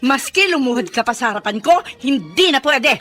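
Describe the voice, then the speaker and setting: Casting: domineering, jealous older Filipino employer for radio melodrama, high-pitched, wildly animated, accusing. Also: female voice, normal speed, faint music behind. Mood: furious, domineering. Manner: haughty, scolding, accusing. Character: jealous, furious older female boss, cruel and domineering. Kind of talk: melodramatic radio drama acting.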